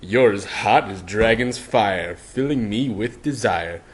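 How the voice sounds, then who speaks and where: A man voicing a smug, lecherous talking hat with a gruff baritone and crooning, cajoling manner. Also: takes it slowly. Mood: sing-song, seductive. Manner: crooning, sing-song, cajoling.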